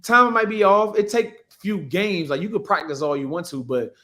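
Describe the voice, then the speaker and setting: A man's voice: sits high, moderate pace, pushed and bright. An American man, likely in his twenties, chatting casually about sports in a slangy, profane, bantering way. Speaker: podcast co-host, pro football player.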